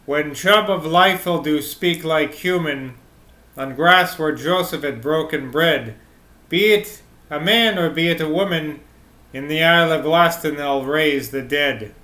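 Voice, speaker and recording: A man's voice: on the high side, unhurried, pushed and bright, echoey room, poor audio. Man doing a drawling old rustic fisherman. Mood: earnest. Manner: drawling, repeats "sir" constantly. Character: old male rustic fisherman, stubborn and fussy.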